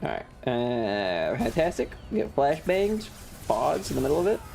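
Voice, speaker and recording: A man's voice: mid-range voice, very animated, unhurried, vocal fry, a little mellow. A man casually commentating a video game on a livestream and chatting with viewers, sweary and dramatically exasperated. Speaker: streamer. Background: video game music.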